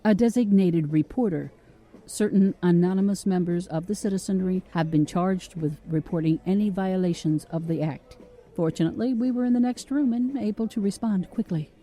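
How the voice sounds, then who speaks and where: Officious, dark-voiced police officer. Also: male voice, high voice, normal speed. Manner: formal, menacingly polite, bureaucratic. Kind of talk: audio drama acting.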